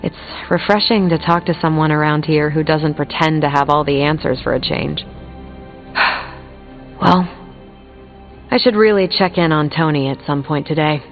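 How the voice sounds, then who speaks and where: A woman's voice: deep voice, normal speed, long pauses. A candid, sardonic superheroine from a video game. She talks bluntly and colloquially. The sound is narrow and phone-like, with music behind her. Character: frank, sardonic soldierly superheroine, adult woman. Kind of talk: video game character dialogue.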